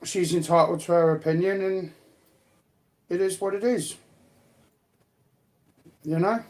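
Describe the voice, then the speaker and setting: A man's voice: high-pitched, steady tone, measured pace, darkish tone. A man chatting casually on a livestream panel, talking in a rambling way and repeating himself for emphasis.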